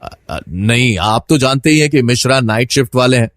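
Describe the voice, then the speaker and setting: A male actor as a gossipy neighbour and colleague in an audio story, with a mid-pitched voice. He is insinuating and sententious, confiding with small hesitations. The sound is very dry. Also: expressive, moderate pace, fairly bright tone, no echo, lightly acted.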